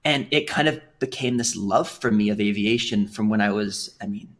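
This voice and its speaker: Rambling young man with a low voice. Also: normal speed. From England. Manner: rambling, with many fillers and restarts. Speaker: podcast guest. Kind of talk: casual conversational storytelling.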